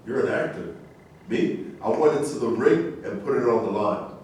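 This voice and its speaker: A man's voice: mid-range voice, lively intonation, moderate pace, darkish tone, close to an everyday voice. A man in a staged play reading, playing a proud, streetwise, crude former boxing champion. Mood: indignant. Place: echoey indoor room at a staged reading. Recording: distant, very echoey room with noise.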